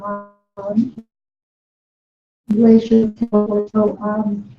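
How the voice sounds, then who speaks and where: A woman giving a hesitant public speech as a candidate at a meeting, with long pauses. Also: mid-range voice, monotone, faint music behind. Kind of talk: halting public campaign speech. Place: indoor public meeting room. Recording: echoey meeting room, dull and faintly noisy.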